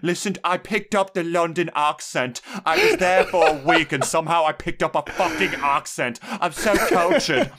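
A man voicing a snooty young American woman who shows off a fake British accent with a slow, smug drawl. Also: on the high side, sing-song, very noisy, voice pushed up.

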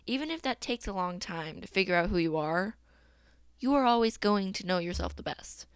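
Young woman host, casual and upbeat, laughs easily; smooth voice, dry close sound. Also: medium pitch, animated, normal speed, no echo. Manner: chatty, upbeat, laughs often, uses fillers like "um" and "like". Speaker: podcast host. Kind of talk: casual solo podcast chat about a song.

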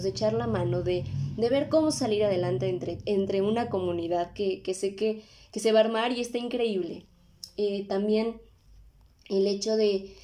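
A woman's voice: medium pitch, warm tone. A woman in her twenties with a Mexican accent, hosting a podcast in a casual, conversational monologue with frequent tag questions. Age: 20s. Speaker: podcast host.

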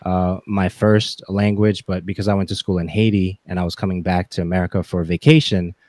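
A man in his forties talking reflectively as a podcast guest, with halting, deliberate phrasing. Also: low-pitched, level tone, a little mellow, no room sound. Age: 40s. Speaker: podcast guest, gaming content creator. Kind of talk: reflective interview talk.

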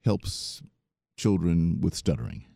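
A man's voice: low-pitched, fairly even intonation, slow, gravelly, dark tone, no room sound, clean studio sound. A man hosting a radio interview, addressing his guest courteously. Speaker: radio host.

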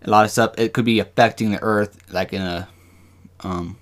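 Low-voiced man, halting and rambling. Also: steady tone. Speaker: podcast co-host. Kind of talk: casual discussion with some reading aloud from notes.

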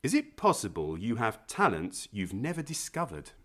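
A man's voice: lowish voice, huge pitch swings, moderate pace, fairly bright tone. A man asking scripted questions in an English lesson podcast.

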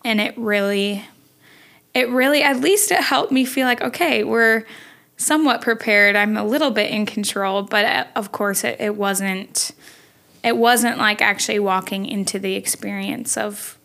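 A young woman talking thoughtfully and softly in a casual interview, pausing on fillers as she recalls things. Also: mid-range voice, creaky. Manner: thoughtful, soft-spoken, with fillers.

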